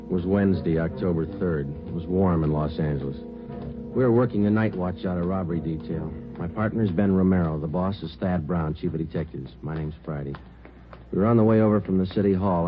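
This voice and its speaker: Casting: male actor as terse radio detective sergeant, extremely low voice, deadpan delivery, muffled vintage broadcast. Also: lightly acted. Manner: clipped, deadpan, matter-of-fact. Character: terse, businesslike male police detective sergeant narrating his case. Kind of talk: 1950s radio crime drama acting. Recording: muffled old radio recording, music behind.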